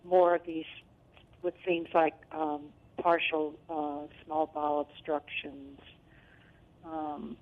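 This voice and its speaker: Low-voiced woman caller on phone. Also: level tone, slow. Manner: hesitant, many fillers. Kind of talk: call-in question. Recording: phone line.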